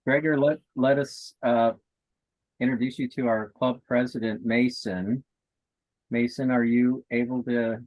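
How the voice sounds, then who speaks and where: A man welcoming a guest at a club meeting, halting with many fillers. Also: medium pitch, fairly even intonation, darkish tone, no room sound. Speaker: club member. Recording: dry dull-sounding call.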